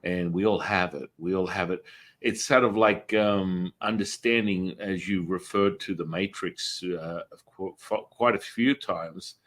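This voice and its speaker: A man's voice: sits low, steady tone, measured pace, fairly bright tone. A man hosting a spiritual interview show, responding to his guest in a reflective, affirming way. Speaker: host. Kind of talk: casual interview talk.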